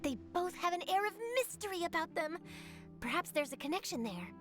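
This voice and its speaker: A woman's voice: very high-pitched, animated, fairly quick. A woman playing an eager young female newspaper journalist in a video game, telling things theatrically, with music under her voice. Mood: excited. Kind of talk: animated game voice acting.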